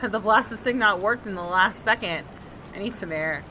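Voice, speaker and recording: Bright-voiced woman narrating a visual novel. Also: medium pitch, moderate pace, a little hiss or hum, poor audio. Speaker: gaming video host. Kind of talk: expressive read-aloud of visual novel narration.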